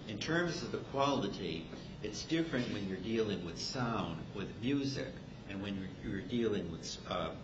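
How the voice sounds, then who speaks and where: An older man with a deep voice talks haltingly at a club meeting, repeating himself and wordily qualifying his points. The recording is muffled, with clear rumbling noise. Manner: halting, repetitive, wordy. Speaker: club member. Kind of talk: informal group discussion.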